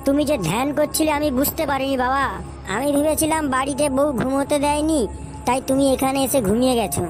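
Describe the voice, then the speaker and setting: A woman's voice: high voice, fairly even intonation, quick pace, over music, close to an everyday voice. A woman voicing a crude, boastful young boyfriend in a comic cartoon dub. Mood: uneasy, nosy. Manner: crude, swaggering, repetitive. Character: foul-mouthed cocky young boyfriend, boastful yet cowardly.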